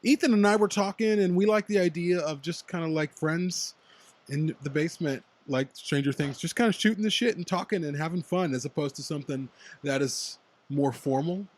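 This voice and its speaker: A young man co-hosting a casual fan podcast, chatting excitedly and laughing often. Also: on the high side, fairly quick. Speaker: podcast co-host. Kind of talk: casual chat between fans.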